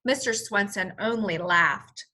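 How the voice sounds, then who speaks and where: A woman reading a children's story aloud as a teacher, in an expressive, explanatory manner. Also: mid-range voice, measured pace. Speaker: first-grade teacher.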